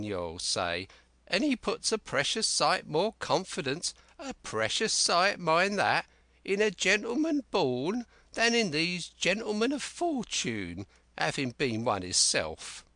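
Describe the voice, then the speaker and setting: A wild old castaway who rambles on eagerly in rustic dialect, his voice jumping high and swooping all over, as a male narrator puts him on. He talks slowly and brightly, close and dry. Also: huge pitch swings, no echo, exaggerated voice. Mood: confiding.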